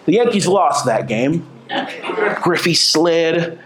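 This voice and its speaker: A man in his forties with a New York accent delivers a sermon, joking with the room and tripping over repeated words as he restarts. His voice sits high for a man. The sound carries a roomy echo, as in a church hall. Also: expressive, slow pace, a loud crowd. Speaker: pastor. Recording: echoey room.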